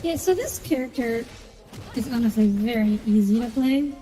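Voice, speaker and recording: A woman's voice: on the high side, animated, moderate pace, mellow. A woman giving casual, blunt and opinionated commentary while playing a game. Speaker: game streamer. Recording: long reverb, music behind. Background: game music.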